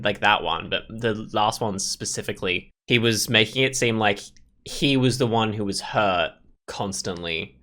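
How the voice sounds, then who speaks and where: A man with a slightly low voice commentates on a game as he plays. He is informal and thinks aloud, in the casual style of a let's play host. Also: fairly bright tone.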